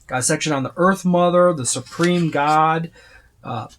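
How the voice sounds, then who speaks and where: A man's voice: fairly high pitch, normal speed, fairly bright tone. A man giving a casual, unscripted walkthrough of a book, rambling and often listing items one by one. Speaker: tabletop gaming reviewer.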